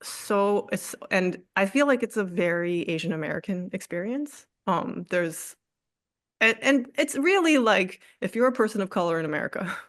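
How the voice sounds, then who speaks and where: A woman artist in a candid interview, speaking reflectively with frequent fillers, restarts and soft laughs. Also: medium pitch, no room sound, studio quality. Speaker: artist guest.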